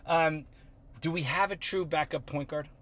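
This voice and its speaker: A man hosting a casual sports podcast, joking and teasing, quick to throw in silly voices. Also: fairly high pitch, a bit bright. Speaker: podcast host.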